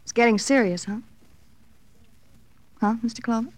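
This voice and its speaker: An actress playing a flippant, sardonic nightclub dancer in an old radio crime drama, theatrical and arch. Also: female voice, on the high side, normal speed, no room sound, close to an everyday voice. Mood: uneasy, defensive. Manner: theatrical, mock-breezy, arch.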